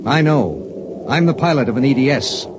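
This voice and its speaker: An actor playing a spaceship pilot in a 1950s radio drama, narrating gravely in the first person. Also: male voice, mid-range voice, expressive, normal speed, background noise, natural voice. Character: spaceship pilot, steady and matter-of-fact. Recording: vintage radio broadcast with music beneath.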